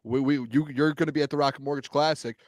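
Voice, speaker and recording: A man's voice: fairly high pitch, fairly even intonation, quick pace, no room sound, studio quality. A man hosting a sports podcast who chats casually and stammers at the start of his sentences. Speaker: podcast host.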